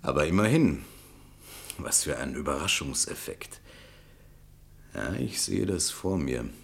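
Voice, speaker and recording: A man's voice: rumbling low, very animated, a little slow, gravelly, heavily acted. An actor playing a shrewd, eloquent defence lawyer in a German radio drama, relishing his words theatrically. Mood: shrewd, regretful.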